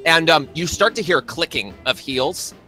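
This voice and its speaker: Casual male game master over soft music. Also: fairly high pitch, lively intonation, moderate pace. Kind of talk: improvised roleplay narration, casual.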